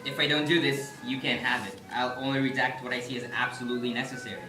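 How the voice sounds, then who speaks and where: A man's voice: medium pitch, fairly even intonation, fast, lightly acted. A snippy, officious male secretary, played by an actor on stage, condescending and clipped. He is heard from far off in an echoey space, with music behind him. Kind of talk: stage play acting.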